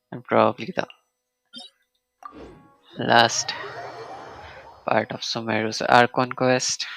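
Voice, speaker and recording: A man livestreaming a game with casual commentary, talking in halting, muttered fragments. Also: mid-range voice, vocal fry, sharp tone, poor audio. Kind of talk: casual live gaming commentary.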